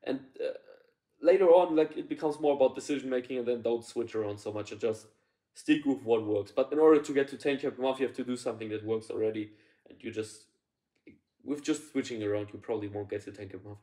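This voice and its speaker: A man's voice: medium pitch, fast, dark tone. A young man in his twenties giving casual business advice to camera from a sofa, blunt, swearing, full of fillers and restarts. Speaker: business consultant. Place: living room, on a sofa indoors. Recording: echoey room.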